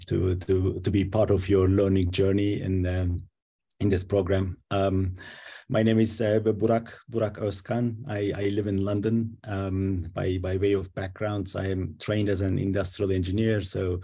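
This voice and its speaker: Deep, dark male voice, monotone and raspy, stammering intro. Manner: stammering restarts, warm and upbeat. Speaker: course facilitator, entrepreneur. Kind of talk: self-introduction.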